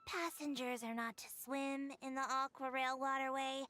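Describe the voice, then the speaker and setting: A woman's voice: sits high, little pitch movement, moderate pace, sharp tone. A woman playing a small, polite, dutiful female waterway officer in a video game, speaking formally like an announcer. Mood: polite, official. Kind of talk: video game character acting. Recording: game music behind.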